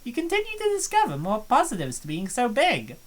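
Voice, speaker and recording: A high, lively voice: a woman doing a young college friend who worries about her friend. She is earnest and gently prying, and her pitch rises and falls a lot. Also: a little fast. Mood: concerned. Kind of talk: fan voice-over of a visual novel.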